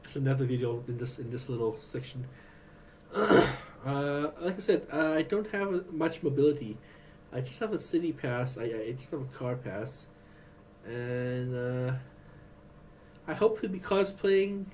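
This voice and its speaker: A man vlogging in a rambling, halting way, with many restarts and fillers. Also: medium pitch, expressive, darkish tone, slight background noise. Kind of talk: rambling personal vlog.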